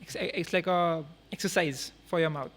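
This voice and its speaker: A young man hosts the conversation, his voice pitched high for a man. He asks short, curious questions in a relaxed, chatty way. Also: moderate pace. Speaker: podcast host. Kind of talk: casual interview chat.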